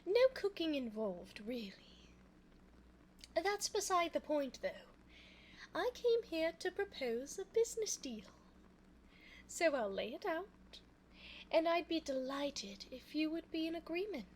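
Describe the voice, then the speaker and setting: Woman acting as high-voiced, slow, genteel vampire lady. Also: sing-song, slight background noise, low-quality recording. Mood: courteous, flattering. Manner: formal, genteel, slow, coaxing. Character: evil, elegant immortal vampire woman, cunning yandere. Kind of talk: ASMR audio roleplay. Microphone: close binaural microphone.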